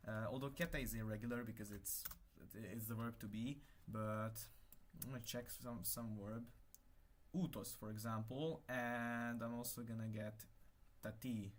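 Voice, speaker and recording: A man's voice: medium pitch, fairly even intonation, a little slow. A young man with a Hungarian accent, a native Hungarian speaker, casually explaining his constructed language and thinking aloud with hesitant self-corrections. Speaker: conlang creator. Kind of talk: casual screen-share explanation.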